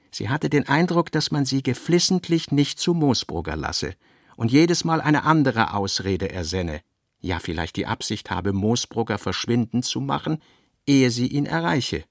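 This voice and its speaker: A man with speech reads literary narration for a radio play in a measured, articulate manner. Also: mid-range voice, vocal fry, no echo, clean studio sound.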